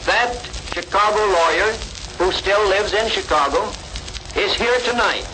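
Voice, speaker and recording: A man's voice: sits high, a little slow. A man making a formal, ceremonious introduction as a radio announcer on a crackling 1930s recording. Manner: ceremonious, declamatory.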